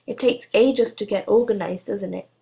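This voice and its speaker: A woman's voice: mid-range voice, normal speed, gravelly, dark tone, natural voice. A woman voicing a slovenly, eager-to-please witch in a storybook, with a fussing, over-friendly, exclamatory manner. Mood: coaxing, fussing.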